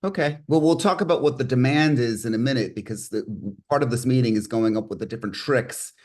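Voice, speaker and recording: A man's voice: medium pitch, fast talker, a little mellow. A middle-aged man hosting an online group meeting on a video call, reading statistics and joking with participants, often restarting his sentences. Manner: rambling, restarts, jokey with participants. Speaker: meeting host.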